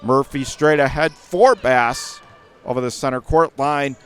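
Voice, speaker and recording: A man doing live radio play-by-play of a basketball game in clipped running phrases, with excitable calls. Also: mid-range voice, unhurried, clean tone. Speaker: play-by-play commentator.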